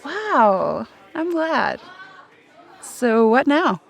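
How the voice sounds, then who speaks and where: A woman's voice: high-pitched, sing-song, drawn-out words, gravelly, a faint crowd, full character voice. An actress playing a playful, flirty, adventurous woman on a date in a romcom audio drama, giggly and teasing.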